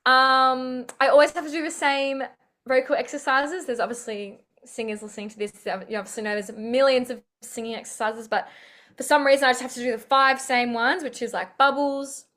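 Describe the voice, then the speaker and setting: Young Aussie woman, slightly high voice, upbeat and rambling, heard over a video call. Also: steady tone, a little fast, fairly bright tone. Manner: chatty, upbeat, many fillers. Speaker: singer-songwriter guest. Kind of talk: casual interview chat.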